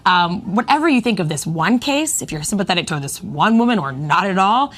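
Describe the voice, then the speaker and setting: A woman's voice: mid-range voice, lively intonation, brisk, fairly bright tone. A woman co-anchoring a live news broadcast, giving engaged, emphatic commentary. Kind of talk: live news desk conversation.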